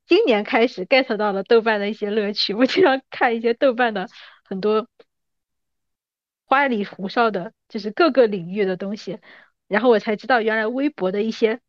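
A woman's voice: a little high, normal speed, no echo, muffled recording. A woman in her thirties in a casual, teasing chat between old friends. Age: 30s. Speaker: podcast guest.

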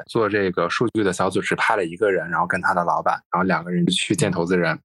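Fast, low-voiced male podcast host. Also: a bit bright, no echo. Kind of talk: casual chat and anecdote.

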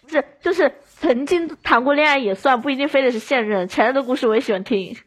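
A woman's voice: high-pitched, fast talker. A woman chatting casually as a podcast guest, with a teasing, bantering manner. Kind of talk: casual chat.

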